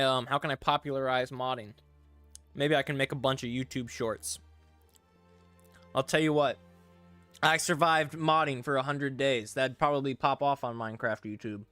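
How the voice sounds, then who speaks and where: A man's voice: a little high, dry recording. A young man casually chatting on a live stream, talking through each step as he works and reading chat aloud. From English-speaking. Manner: relaxed, talks through steps as he works, reads chat aloud.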